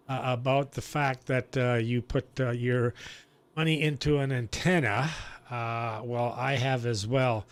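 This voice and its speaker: A man chatting casually as an amateur radio operator, talking in a halting, chatty way with throat clearing. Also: medium pitch. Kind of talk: casual ham radio chat.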